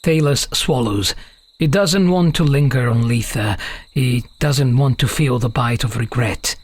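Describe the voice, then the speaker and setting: A man's voice: mid-range voice, unhurried, no echo. A man giving scripted narration for a fantasy audiobook, as the storyteller between characters' lines.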